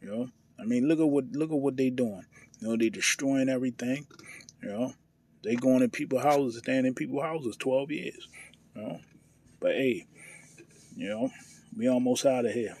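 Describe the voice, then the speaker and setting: An American man speaks off the cuff in a gravelly, husky voice. He is informal and preachy, like a homespun religious commentator reacting to the news. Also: medium pitch, moderate pace, long pauses, warm tone. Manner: informal, preachy, peppered with "you know" and "hey".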